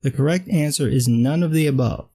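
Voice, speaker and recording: A man's voice: on the high side, brisk, warm tone, breathy edge. A man with a dry voice delivering a sarcastic, blunt scripted film commentary. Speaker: film critic vlogger.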